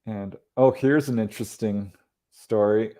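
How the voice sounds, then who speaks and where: A man's voice: fairly low pitch, unhurried. Laughing man presenting slides. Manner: relaxed, laughs often, clarifying questions. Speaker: co-host. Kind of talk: presenting slides on a video call.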